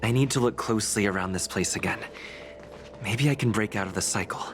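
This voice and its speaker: A man's voice: on the low side, a little fast, close to an everyday voice. A man playing an earnest young adventurer in a video game, talking to himself in a wondering, searching way. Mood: puzzled. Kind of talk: video game protagonist dialogue. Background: music.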